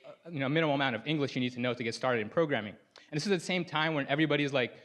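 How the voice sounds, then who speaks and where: Young man, from Sudan, native Arabic speaker, conference talk, casual, filler-heavy, mid pitch, lapel mic. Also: very fast, fairly bright tone. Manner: casual, lots of "like" and "you know" fillers. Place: conference stage.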